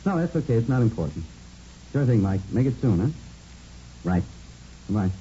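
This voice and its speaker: A man's voice: fairly low pitch, very animated, moderate pace, mellow. An actor playing an obliging, chatty male gym proprietor in a vintage radio police drama. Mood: obliging, casual. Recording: muffled, noisy vintage radio recording.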